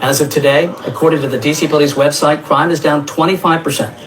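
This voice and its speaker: A man's voice: fairly high pitch, little pitch movement, quick pace, a bit bright. A man reporting a news story in broadcast style.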